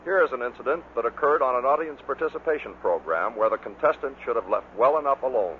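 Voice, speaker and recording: A man's voice: medium pitch, lively intonation, fast talker. A man delivering scripted narration as the narrator of a bloopers record. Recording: noisy, tinny vintage radio recording.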